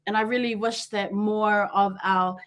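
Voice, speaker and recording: A woman with a New Zealand accent hosting a podcast, chatty and quick to laugh, often wandering off on tangents. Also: medium pitch, steady tone, fairly bright tone. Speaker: podcast host. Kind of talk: casual chat.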